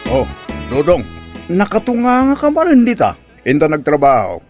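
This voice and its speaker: A man's voice: high-pitched, wildly swooping pitch, moderate pace, over music. A man with an Ilocano accent playing a nagging, exasperated, blustery uncle in a comedy drama, scolding and exclamatory.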